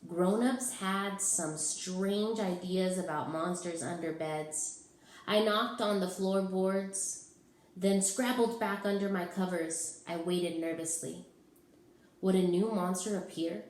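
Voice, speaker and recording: A woman giving an expressive narration of a children's storybook, as a teacher reading aloud. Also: mid-range voice, slow. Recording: roomy, echoing sound.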